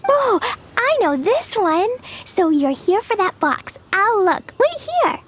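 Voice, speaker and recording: A woman voicing a cheerful, innocent, impulsive little girl adventurer in a video game, with a childlike, naive, excitable manner. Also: very high voice, slow pace, heavily acted. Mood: eager, pondering. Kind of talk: video game character acting.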